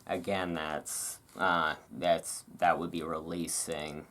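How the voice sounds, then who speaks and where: Low pitch, slow pace, relaxed ASMR reading, casual musing asides, host reading aloud. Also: a little hiss or hum. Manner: informal, chatty, musing asides between read passages.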